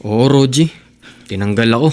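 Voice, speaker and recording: Radio drama husband, played by an actor: poor, young, hot-headed yet self-sacrificing, medium pitch, earnest delivery. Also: male voice, normal speed, loud music behind the voice, natural voice. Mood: aggrieved, heated. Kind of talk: Tagalog radio drama acting.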